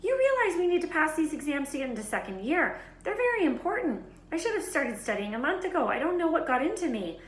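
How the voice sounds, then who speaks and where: Storybook schoolgirl, played by a woman: studious, anxious, bossy, high-pitched and snappy, with roomy echo. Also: sing-song, fast talker, hardly any pauses. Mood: indignant, snappy. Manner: snappy, emphatic nagging.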